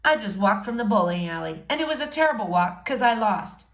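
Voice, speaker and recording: Woman voicing a jovial, teasing male mentor, lively. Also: medium pitch, brisk. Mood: tired, teasing. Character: Mister Mac, jovial, booming adult man, book-loving mentor. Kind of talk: storybook read-aloud character voice. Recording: roomy, echoing room sound.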